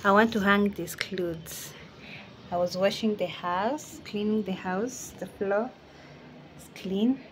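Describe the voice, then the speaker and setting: A woman's voice: medium pitch, slow pace, a bit bright, a little hiss or hum. A young woman with a Kenyan accent, casually vlogging about her day at home and mixing in Swahili phrases. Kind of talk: casual vlog narration. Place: home kitchen.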